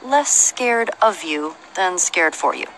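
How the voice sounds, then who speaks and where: A woman's voice: on the low side, lively intonation, slow, fairly bright tone, slight background noise, rough recording. A woman voicing a tough, confident young female adventurer in a video game, with a dry, teasing, self-assured manner. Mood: bossy, wry. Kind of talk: animated game dialogue.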